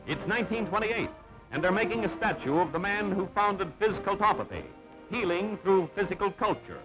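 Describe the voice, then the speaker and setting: A man's voice: sits high, big pitch swings, moderate pace. A man reading scripted narration for an old newsreel in a clipped, dramatic, telegraphic delivery. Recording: dull old newsreel soundtrack with music. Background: music.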